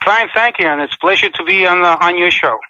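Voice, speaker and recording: A courteous, formal man from Puerto Rico phones in as a guest. His voice sits high for a man, and he talks quickly over the call. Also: bright, forceful tone, dry recording, lo-fi sound. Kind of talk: phone interview.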